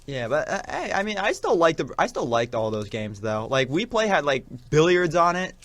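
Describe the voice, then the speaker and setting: A man's voice: a little high, sing-song, moderate pace, a bit bright, no echo. A young adult man chatting casually as a podcast co-host, rambling along and leaning often on "like". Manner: rambling, peppered with "like". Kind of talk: casual chat.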